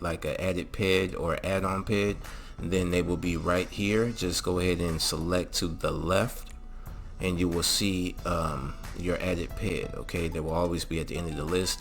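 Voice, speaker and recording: A man's voice: deep voice, a bit bright. A man narrating a casual step-by-step game tutorial, instructing and often checking in with the listener. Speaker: host of a game mod tutorial.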